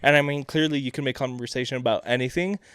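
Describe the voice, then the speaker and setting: Quiet, low-key man, smooth and dry. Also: fast talker, no room sound. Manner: quiet, low-key, even delivery with many fillers. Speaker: podcast guest. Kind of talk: casual chat.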